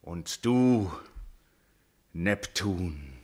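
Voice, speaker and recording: A man playing a proud, wrathful ancient Greek king and betrayed father in a classical verse radio tragedy, declaiming gravely and solemnly. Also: low voice, lively intonation, very slow, a little mellow, full character voice. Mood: furious.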